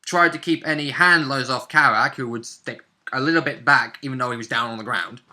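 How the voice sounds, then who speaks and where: A man with a sharply bright voice at a middle pitch talks casually about a role-playing session. He is a player telling the story in an animated, informal way. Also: fairly quick. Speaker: tabletop role-play player recounting a session. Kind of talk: casual chat recap of a game session.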